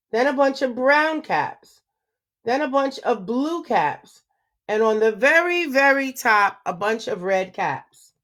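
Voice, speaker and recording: A woman reading a picture book aloud to children, slow and expressive, pausing to ask them questions. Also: a little high. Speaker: children's story reader. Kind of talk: read-aloud storytelling for children.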